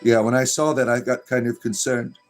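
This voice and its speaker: A middle-aged man from Florida chats with his viewers, greeting them one by one. His pitch sits in the middle of the range, and his talk wanders, slows and restarts. Faint music plays behind him. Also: level tone. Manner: rambling, full of fillers and restarts, drawn-out narrator delivery. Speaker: livestream host. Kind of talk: casual livestream chat reading viewer comments.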